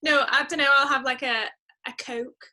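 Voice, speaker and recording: A woman chatting casually as a guest in an interview, answering in an enthusiastic, expressive way. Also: sits high, normal speed, heavy background noise. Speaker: podcast guest; musician.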